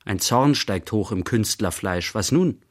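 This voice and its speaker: An actor playing the narrator of a literary radio play, telling an artist's day in measured, short phrases. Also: male voice, on the low side, expressive. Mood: measured narrating. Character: narrator recounting an artist's day in present tense.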